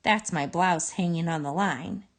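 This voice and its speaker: A woman's voice: on the low side, unhurried. A woman voicing a friendly, curious young country girl with a folksy manner in a children's story. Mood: friendly, inviting.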